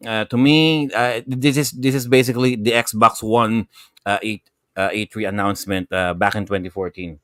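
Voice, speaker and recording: A man giving casual panel commentary on a gaming show in an emphatic, halting way. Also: on the low side, steady tone, a bit bright, no room sound, studio quality.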